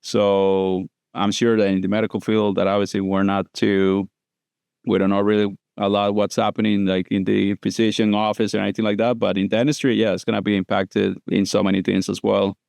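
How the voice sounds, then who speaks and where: Smooth-voiced man from Costa Rica. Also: fairly low pitch, little pitch movement, fairly quick, a little mellow, no room sound, studio quality. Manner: explanatory, loose grammar, frequent "like" and "so". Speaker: guest prosthodontist. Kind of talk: casual podcast interview.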